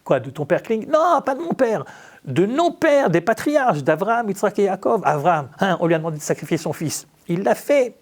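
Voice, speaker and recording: A man's voice: high voice, very animated, normal speed. A man from France, a rabbi giving an informal religious talk in a conversational, humorous storytelling manner, with rhetorical questions. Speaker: rabbi teaching.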